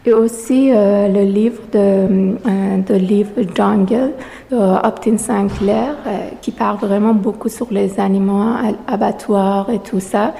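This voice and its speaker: A woman artist speaking French as a second language, answering interview questions slowly with many fillers and restarts, recorded in a gallery. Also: medium pitch, fairly even intonation, vocal fry, warm tone. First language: not French. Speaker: exhibiting artist. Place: art gallery. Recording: long reverb of a gallery room.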